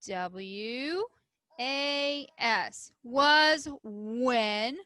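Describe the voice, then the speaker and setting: A woman's voice: on the high side, very slow, pushed and bright, dry recording, clean studio sound. A woman teacher reading aloud and leading a writing lesson for young children, explaining simply and asking them questions. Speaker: primary school teacher.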